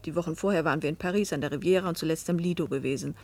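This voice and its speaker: An actress playing a pensive middle-aged wife and mother, recounting a fateful journey in measured literary narration that sometimes sinks toward a whisper. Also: low voice, darkish tone. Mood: reflective. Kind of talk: literary first-person narration in a German radio play.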